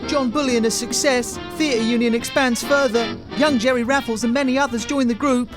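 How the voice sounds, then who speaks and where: An actor playing a newsboy who cries headlines and narrates in a radio drama. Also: male voice, very high voice, fairly even intonation, normal speed, over music. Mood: proclaiming. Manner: declaiming. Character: newsboy crier narrating a theatre company's history. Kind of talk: street news-crier narration in radio drama.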